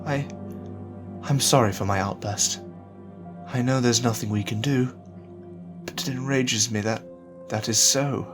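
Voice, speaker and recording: A man's voice: mid-range voice, a little slow, long pauses, natural voice. Man playing earnest, desperate young husband over music. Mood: subdued, bitter. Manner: earnest, impassioned. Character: desperate, stubborn young husband in a poor oppressed dystopia. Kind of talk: audio drama acting.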